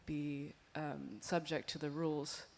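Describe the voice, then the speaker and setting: A woman panelist giving a thoughtful, measured answer at a museum panel discussion. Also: sits low, flat delivery, a little hiss or hum. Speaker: panelist artist.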